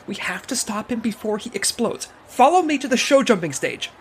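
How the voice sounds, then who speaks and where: A woman playing an earnest, excitable agent on absurd missions in an audio drama. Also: fairly high pitch, brisk, a little hiss or hum. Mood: urgent, excitable.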